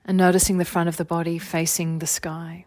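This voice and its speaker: A woman leading a guided meditation as a teacher, giving gentle, soothing body-scan instructions in an unhurried way. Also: on the low side, level tone, no room sound. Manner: soothing, unhurried, gentle instruction with long phrases trailing softly.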